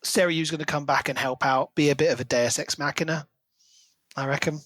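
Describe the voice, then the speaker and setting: A man's voice: a little high, fairly even intonation, fairly quick, a bit bright, dry recording. A man co-hosting a podcast, talking casually and speculatively about a book. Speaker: podcast co-host.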